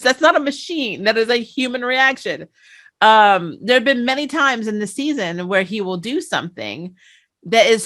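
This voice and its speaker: A woman hosting a casual fan review, chatting in an animated, storytelling way. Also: high-pitched. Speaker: talk show host and interviewer.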